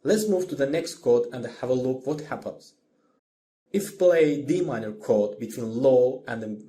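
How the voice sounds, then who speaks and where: A man who is a non-native English speaker narrates a scripted music lesson as the instructor, in a deliberate, explanatory manner. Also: mid-range voice, mellow. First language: not English.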